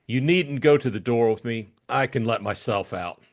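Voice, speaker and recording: A man's voice: medium pitch, moderate pace, natural voice. A man acting an irritable, blunt hard-boiled private detective in an audiobook drama. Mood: brisk, demanding. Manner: blunt, impatient.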